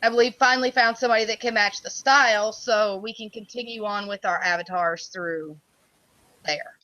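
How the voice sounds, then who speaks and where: A woman with a loud, bright voice talks casually over a computer microphone. The sound is dry and close, with no room in it, and she keeps the chat loose and friendly as she streams her work. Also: medium pitch, steady tone, moderate pace, dry recording, lo-fi sound. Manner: loud voice, says so herself. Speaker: community project lead.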